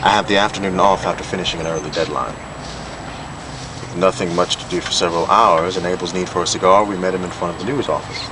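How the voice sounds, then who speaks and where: Very low-voiced man reading fiction, noisy recording. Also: fast talker. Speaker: reader of a novella. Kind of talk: literary prose reading, storytelling. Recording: noisy amateur recording with steady background hum.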